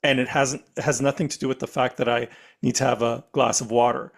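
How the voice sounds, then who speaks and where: A man with a raspy voice, hosting a podcast and putting questions to his guest in a conversational way. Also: mid-range voice, steady tone, fairly quick. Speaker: podcast host.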